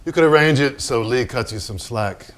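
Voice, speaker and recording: An actor playing a hard-drinking, tormented painter in a theatrical staged monologue, profane, brooding and rambling. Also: male voice, mid-range voice, lively intonation, moderate pace, a bit bright, close to an everyday voice. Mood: bitter, brooding. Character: hard-drinking, foul-mouthed, tormented male painter, self-mocking. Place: staged reading in a barn before an audience.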